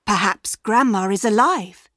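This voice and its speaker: A woman's voice: mid-range voice, unhurried, bright, forceful tone, no room sound, clean studio sound. A woman voicing a brave village father in a firm, matter-of-fact way.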